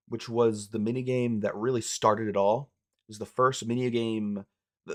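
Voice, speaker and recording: A young man with a raspy voice from being sick, chatting casually as a streamer, rambling and poking fun at himself. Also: medium pitch. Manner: rambling, self-mocking, restarts phrases. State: hoarse from illness.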